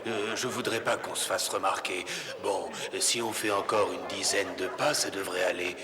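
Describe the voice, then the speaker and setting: A man's voice: sits low, fairly even intonation, moderate pace, crowd noise, natural voice. A man voicing a fatherly, commanding older badger in a cartoon dub, speaking. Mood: cautious. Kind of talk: French cartoon dub acting.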